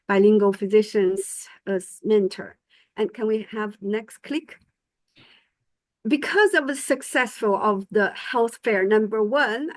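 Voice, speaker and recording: A woman's voice: mid-range voice, no echo, poor audio. A middle-aged woman whose first language is Chinese, giving a conference presentation as medical faculty, hesitant with many fillers and restarts. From China.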